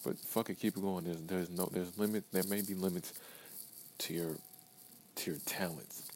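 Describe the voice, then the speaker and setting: A man's voice: sits low, fairly even intonation, normal speed, darkish tone, slight background noise, poor audio. A man in his early twenties talking to himself in a blunt, profane podcast monologue, repeating phrases for emphasis. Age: 20s. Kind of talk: self-talk pep monologue.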